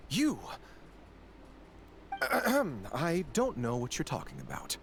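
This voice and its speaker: An actor playing an anxious, cornered small-time criminal in a video game, nervous and halting. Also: male voice, a little high, wildly swooping pitch, gravelly, fairly bright tone, a little hiss or hum, heavily acted. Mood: startled, nervous. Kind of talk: scripted video game voice acting.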